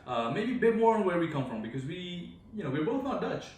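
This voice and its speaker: A man's voice: high voice, big pitch swings, very fast, a little mellow. A man in his twenties from India, co-hosting a casual podcast at a friend's home, chatty and joking, often restarting his sentences. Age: 20s. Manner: chatty, self-interrupting, joking. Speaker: podcast co-host. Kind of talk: casual chat. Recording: echoey room, distant mic, faint noise.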